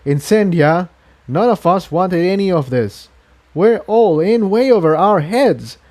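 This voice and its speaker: A man's voice: high-pitched, animated, a little slow, darkish tone, pitched above the performer's own voice. A man voicing a weary, earnest engineer pony in a storybook reading, talking in a reflective, confiding way. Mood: reassuring.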